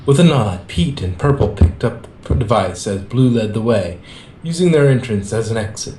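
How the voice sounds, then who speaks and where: A man's voice: expressive, normal speed, mellow. A man reading fan fiction aloud and chatting casually as an amateur co-reader. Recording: echoey room, faint rumbling noise.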